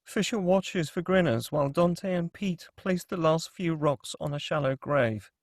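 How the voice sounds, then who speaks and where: Male table-read narrator for screenplay directions: slightly high, fry in the voice, very dry recording. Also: unhurried, no echo.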